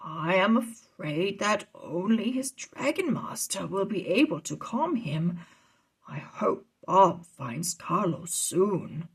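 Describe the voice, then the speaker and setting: A woman voicing a calm, kindly male wizard mentor who explains things in a measured way for a storybook. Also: lowish voice, husky, exaggerated voice. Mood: calm, grave.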